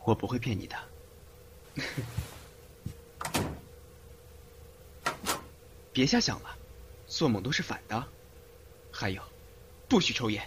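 Man acting a lively, nagging young boyfriend. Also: mid-range voice, moderate pace, natural voice. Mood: soothing. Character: breezy nagging young male undercover lover, affectionate, cocky. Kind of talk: amateur Chinese audio drama acting. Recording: hissy amateur home recording.

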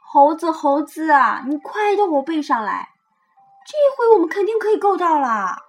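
Woman as eager little storybook fox: very high, bouncy, loud and confident, urging friends on. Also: sing-song, moderate pace, faint music behind. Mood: excited, urging.

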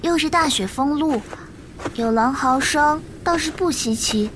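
A woman playing a gentle, caring young disciple in a Chinese martial-arts audio drama. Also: high-pitched, measured pace, background noise. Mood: puzzled. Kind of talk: Chinese period radio drama acting.

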